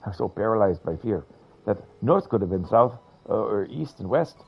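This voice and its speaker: A man's voice: lowish voice, lively intonation, normal speed, gravelly, slightly rough, dull audio, voice pushed up, full character voice. A man voicing a formal, fearful adventurer who tells things in florid, dramatic style. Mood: anguished. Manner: grandiloquent, dramatic.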